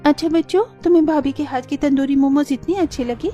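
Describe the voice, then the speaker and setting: A woman playing a gentle, caring young woman of a poor family in an earnest cartoon style. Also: high voice, quick pace, lightly acted. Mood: pleased, encouraging. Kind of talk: cartoon dialogue acting. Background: background music.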